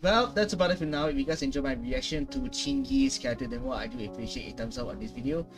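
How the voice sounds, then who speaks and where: A man's voice: fairly high pitch, very animated, quick pace, over music. A man in his twenties giving casual reaction commentary, often hesitant as he thinks aloud. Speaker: gaming reaction video host. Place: small room at home.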